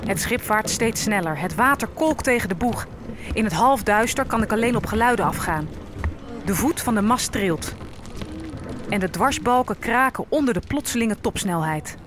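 A woman's voice: fairly high pitch, lively intonation, normal speed, close to an everyday voice. Actress as captive enslaved woman, ship noise behind. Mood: tense, sombre. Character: captive enslaved young African woman recounting her ordeal. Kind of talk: dramatic first-person audio drama narration.

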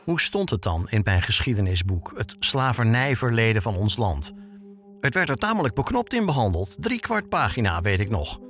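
Dutch guy hosting a story podcast, very lively intonation, music under him. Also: medium pitch, moderate pace. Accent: Netherlands Dutch. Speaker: podcast host and reporter. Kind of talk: scripted narrative podcast narration.

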